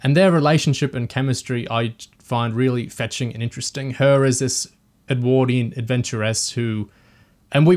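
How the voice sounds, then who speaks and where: A man with a medium-pitched voice hosts a discussion podcast. He speaks as an engaged fan reviewer, explaining things to listeners and sharing his enthusiasm.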